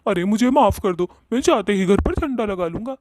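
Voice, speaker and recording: A man's voice: high voice, expressive, moderate pace, darkish tone. A man voicing a fearful old villager in a cartoon story, repeating words in a pleading, agitated way. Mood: terrified pleading. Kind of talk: Hindi cartoon story voice acting.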